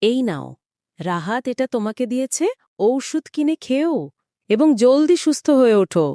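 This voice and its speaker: A woman playing a kind, caring schoolteacher in a cartoon, gentle and earnest in manner. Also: sits high, sing-song, normal speed, no room sound, studio quality. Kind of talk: cartoon voice acting.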